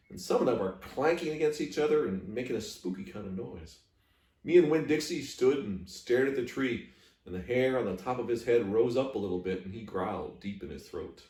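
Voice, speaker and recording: A man's voice: medium pitch, a little mellow. Man reading aloud in an echoey room. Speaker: library reader. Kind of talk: storybook read-aloud narration. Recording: echoey room, distant microphone.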